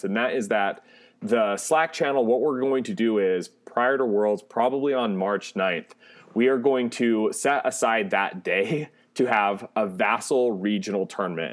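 A man hosting a gaming podcast, announcing an online tournament in an upbeat, relaxed way. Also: medium pitch. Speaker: podcast host. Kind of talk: casual podcast chat.

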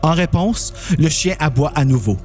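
Male narrator for a horror audio story, mellow tone, average pitch, faint music underneath. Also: lively intonation, normal speed.

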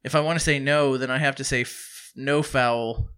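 Bright, clear-voiced podcast co-host. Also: male voice, mid-range voice, fairly even intonation, moderate pace. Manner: joking storyteller, swears freely. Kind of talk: casual podcast banter.

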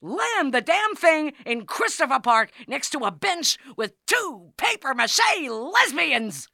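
A woman's voice: sits high, sing-song, unhurried, gravelly, pushed and bright, no echo, clean studio sound, full character voice. A woman playing an exasperated, argumentative New York wife in an audio comedy, speaking with sarcastic, indignant emphasis. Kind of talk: comedic audio drama acting.

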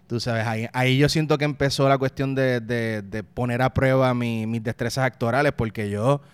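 Puerto Rican man in his thirties, interview guest; resonant, smooth voice, reflective, trips over words as he thinks. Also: mid-range voice, fairly even intonation, moderate pace, no room sound. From Puerto Rico. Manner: reflective, frequent restarts and repeated words; uses local slang. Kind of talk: casual conversational interview.

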